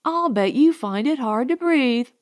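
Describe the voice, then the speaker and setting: Resentful colonist wife for an audiobook, played by a woman: high voice, taunting, defiant, unhurried. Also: lively intonation. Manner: taunting, challenging. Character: sharp-tongued, resentful, strong young colonist wife.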